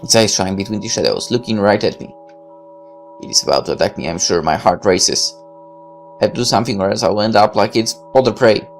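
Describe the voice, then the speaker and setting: A man's voice: lowish voice, brisk, soft background music. A man reading a visual novel aloud like a dramatic storyteller, tossing in his own asides. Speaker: let's player. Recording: clear background noise.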